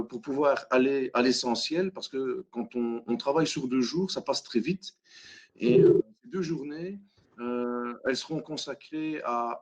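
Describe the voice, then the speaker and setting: A man's voice: mid-range voice, darkish tone. Belgian man, facilitator type, stop-start delivery, muffled video-call sound. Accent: Belgian French. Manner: hesitant, many fillers and restarts. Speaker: hackathon facilitator. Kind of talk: webinar presentation.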